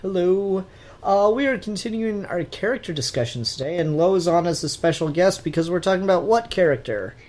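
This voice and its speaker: A man's voice: high voice, expressive, a little fast, darkish tone. A young adult man hosting a podcast in casual chat, with teasing, sweary banter. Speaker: podcast host.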